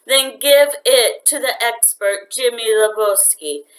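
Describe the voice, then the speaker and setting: A woman's voice: on the high side, slow, pitched above the performer's own voice, rough recording. Woman putting on a dry, scornful, stern mother. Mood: scolding. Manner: dry, scolding.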